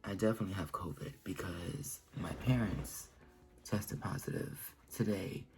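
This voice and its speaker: A man in his thirties, sick and hoarse, coughing and talking weakly into a phone he holds at arm's length in a small bedroom. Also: a little mellow, faint music behind. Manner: weak, low and halting. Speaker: vlogger. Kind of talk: casual self-recorded video diary. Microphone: phone held at arm's length.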